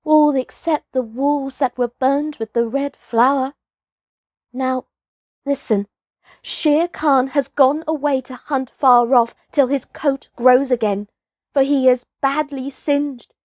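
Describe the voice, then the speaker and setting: A woman plays a storybook wolf, an anxious and loyal elder brother. The voice rides high and earnest, with archaic turns of phrase, in a close, dry recording. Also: steady tone, takes it slowly, darkish tone, no echo. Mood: urgent news-bearing. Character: eldest wolf cub brother, gruff-tender, anxious, loyal.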